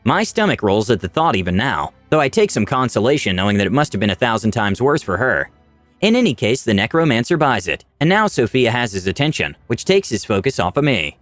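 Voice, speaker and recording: A man's voice: mid-range voice, very animated, fairly quick, no echo, faint music behind. A man narrating a scripted first-person fantasy story in a dramatic storytelling style.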